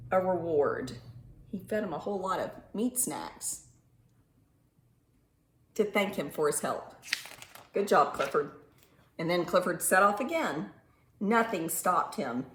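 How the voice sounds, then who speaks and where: A woman reading a picture book aloud to young children in an animated, teacherly way, pausing to ask simple questions and stretching words for emphasis. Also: mid-range voice. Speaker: children's storytime reader and teacher. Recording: roomy echo with faint background noise.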